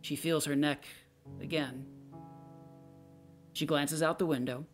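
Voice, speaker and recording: A woman narrates an audio play, reading out stage directions in a scripted style. Her voice sits low for a woman, and faint music plays along. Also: steady tone, takes it slowly.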